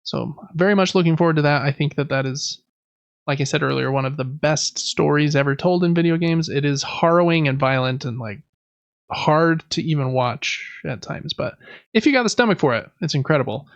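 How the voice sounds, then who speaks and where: A man's voice: on the high side, brisk, no echo, highs cut off. A man hosting a gaming podcast, running through news in a casual, conversational and informal way. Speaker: podcast host.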